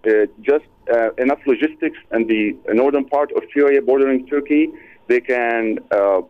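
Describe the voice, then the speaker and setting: A man calling in to a talk radio show, speaking haltingly with frequent fillers and repeated words. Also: mid-range voice, no room sound. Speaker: caller. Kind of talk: radio call-in conversation. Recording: thin lo-fi phone line.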